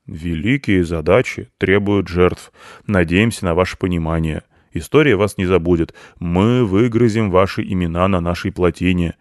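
A man's voice: deep voice, normal speed, darkish tone, dry recording, clean studio sound, natural voice. A man voicing a stern, pompous beaver foreman in an animal story, speaking like a grandiose official.

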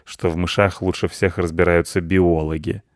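A man's voice: low voice, flat delivery, moderate pace, dry recording, clean studio sound, lightly acted. A man voicing a self-important, sceptical ginger tomcat in a storybook, drawling in grumpy disapproval. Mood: disdainful.

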